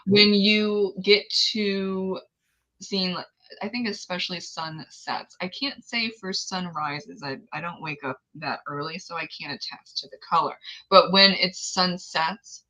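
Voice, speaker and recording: A woman with a raspy voice, chatting casually and laughing often as she hosts a book release livestream. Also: fairly low pitch, steady tone, measured pace, bright, forceful tone, poor audio. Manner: giggly, chatty, exclaims often. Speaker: author hosting a book release stream.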